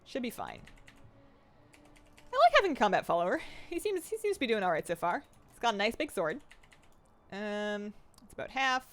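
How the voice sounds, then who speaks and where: A young woman streaming a game live, chatting excitedly and reading her chat aloud. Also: on the high side, moderate pace, long pauses.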